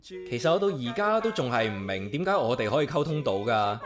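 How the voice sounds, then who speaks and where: An actor playing a solitary, caring young office worker with a Hong Kong accent, narrating and chatting casually in a radio drama. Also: male voice, medium pitch, sing-song, a little fast, over music, close to an everyday voice. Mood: reflective, fond. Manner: casual, talks playfully to himself and pet. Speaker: lead character and narrator. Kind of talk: radio drama dialogue and inner-monologue narration.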